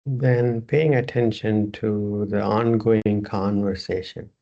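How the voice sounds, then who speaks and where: A man teaching yoga, slowly and calmly guiding a relaxation meditation in short, spare phrases. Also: on the low side, creaky, muffled recording. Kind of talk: guided relaxation meditation instruction.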